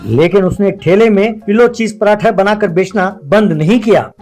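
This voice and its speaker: Male storyteller for cartoon moral tales, high voice, light music behind. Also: moderate pace, darkish tone.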